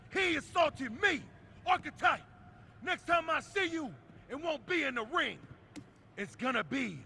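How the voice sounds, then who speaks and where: A man's voice: very high voice, creaky, bright, forceful tone, a little hiss or hum, heavily acted. A man playing a menacing, aggrieved wrestler in a confrontational, emphatic backstage promo. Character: menacing, aggrieved male wrestler, hot-tempered bruiser.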